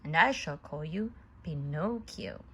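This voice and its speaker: Woman as a fatherly old wood carver, slow-paced. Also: low voice, animated, a bit bright, husky, pitched below the performer's own voice, full character voice. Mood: fatherly, stern.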